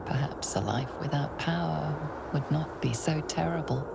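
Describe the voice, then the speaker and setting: An actress narrating a fantasy game as a grave, ominous storyteller, measured and hushed with a breathy edge. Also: female voice, sits low, very noisy. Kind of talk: scripted second-person game narration.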